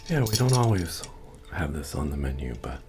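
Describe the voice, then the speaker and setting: Casting: male actor as humble, hospitable fantasy innkeeper for ASMR roleplay; extremely low, soft voice, gentle music underneath. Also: sing-song. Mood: friendly, helpful. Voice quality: deep, soft, often dropping to whisper. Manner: unhurried, hospitable, murmuring to a guest. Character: friendly humble medieval fantasy tavern innkeeper, chatty and hospitable. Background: soft bard music.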